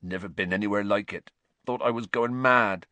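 A man voicing a huge, tearful male groundskeeper who talks in a rough dialect. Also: moderate pace, bright, forceful tone, dry recording. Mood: haunted. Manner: rough dialect speech, low and mournful.

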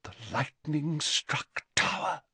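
A man voicing a haughty older female divination teacher in a storybook, with theatrical, drawn-out words and sniffy, mystical self-importance. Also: high voice, very animated, dry recording, voice pushed up, exaggerated voice. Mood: ominous.

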